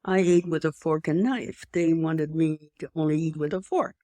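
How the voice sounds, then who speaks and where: A woman's voice: sits low, normal speed, mellow, no room sound. A woman in her eighties with a slight French accent, telling her life story as an interview guest in a rambling way, with restarts and emphatic asides. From Europe. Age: 80s. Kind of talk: personal storytelling in conversation.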